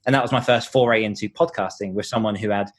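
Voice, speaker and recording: A man's voice: on the low side, fairly even intonation, fast talker. A man giving earnest, explanatory answers in a conversational podcast interview, thinking aloud as he goes. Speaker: podcast host and author being interviewed.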